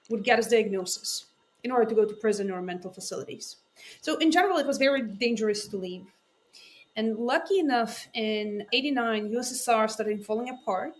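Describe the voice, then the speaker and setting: Accented woman, higher-pitched guest. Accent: Russian-speaking Eastern European. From Ukraine. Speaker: guest, tech CEO. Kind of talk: interview storytelling. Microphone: remote call.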